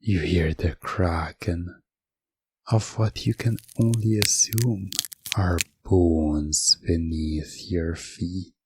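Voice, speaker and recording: A man narrating a scripted hypnosis ASMR story in a hushed, suggestive way with dramatic pauses. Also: sits low, fairly even intonation, slight background noise.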